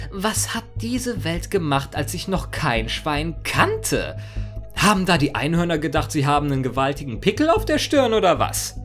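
A performer voicing an immortal, mischievous chaos creature in a theatrical fan audio monologue, mock-formal, sardonic and playfully rambling. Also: mid-range voice. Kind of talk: theatrical first-person character monologue in a fan audio story.

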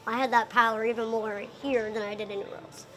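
A young woman with a very high voice talks casually in an interview. She is chatty and often slips into quoting other people as she explains things. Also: flat delivery, quick pace, very noisy. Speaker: performer being interviewed. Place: indoor lounge by a window.

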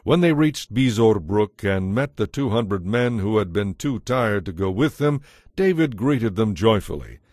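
A man narrating a dramatized Bible as scripted storytelling, in a solemn, measured manner. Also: lowish voice, expressive, darkish tone, no echo, clean studio sound.

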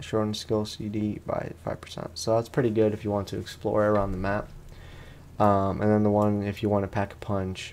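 A man with a low-pitched, creaky voice hosts a casual let's play. He rambles in loose slang and pokes fun at himself as he goes, talking through the game like he would to a friend. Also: darkish tone. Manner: rambling, slangy, self-deprecating. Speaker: gaming host.

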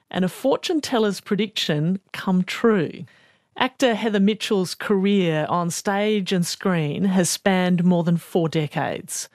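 Low-voiced radio host woman. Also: darkish tone, no room sound, studio quality. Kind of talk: broadcast interview. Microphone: studio mic.

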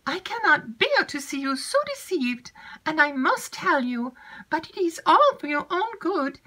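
A gossiping young maid who rattles on with drawn-out emphasis, as a woman reading the story puts her on. Her voice sits far up at a squeaky height and rings bright and sharp. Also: big pitch swings, exaggerated voice. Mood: chattering. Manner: breathless chatter with drawn-out emphasis. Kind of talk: audiobook character reading.

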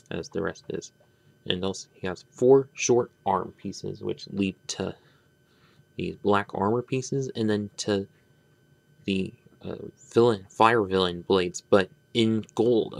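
Male hobby reviewer, deep and gravelly, halting unscripted delivery that wanders and trails off. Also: dry recording. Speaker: toy reviewer. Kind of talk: unscripted hands-on product review. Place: indoors at a tabletop.

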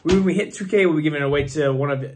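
A young man talks in a casual, rambling way, stopping often on fillers as he thinks aloud. His voice is hoarse and worn out, and it sits a little high for a man. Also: racing, darkish tone, a little hiss or hum. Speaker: fantasy football channel host. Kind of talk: casual screen-share commentary.

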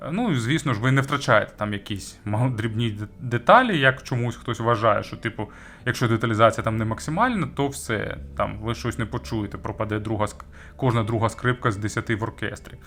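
A man giving a conversational audio gear review, speaking with hesitant pauses, stretched words and emphatic jumps on key phrases. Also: fairly low pitch, lively intonation, a bit bright. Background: background music.

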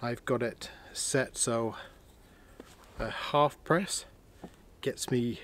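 A man with an ordinary mid-range voice talks through his camping gear in an easy, unhurried way. He is sitting in a warm canvas tent on a winter night, showing each item to the camera as he speaks. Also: medium pitch. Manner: relaxed, chatty, thinks aloud with fillers. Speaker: outdoor vlogger. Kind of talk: casual gear review and camping vlog.

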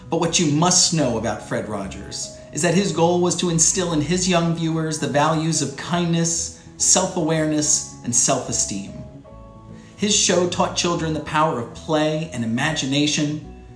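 An American man in his thirties hosting a children's read-aloud show, speaking to young viewers in clear, simple phrases as he presents and reads from a script. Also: fairly high pitch, roomy sound. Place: small furnished room indoors.

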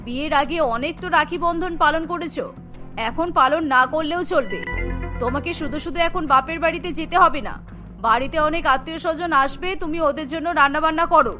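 A scornful middle-aged mother-in-law from a cartoon, acted out by a woman. Her voice is bright and cutting, and she speaks in a domineering, taunting way. Faint music plays underneath. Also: high-pitched, fast talker, pitched below the performer's own voice. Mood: dismissive, bossy. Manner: bossy, taunting.

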